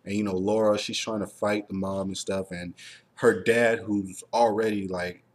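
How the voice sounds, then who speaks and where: A man with a fairly low voice hosts a casual review chat. He rambles enthusiastically, looping through filler words as he shares his opinions. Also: flat delivery, normal speed. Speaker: host of a TV review show.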